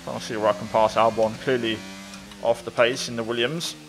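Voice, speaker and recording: A man's voice: mid-range voice, fairly even intonation, fairly quick, faint music behind, rough recording. Casual man commentating on racing gameplay. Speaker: gaming video creator.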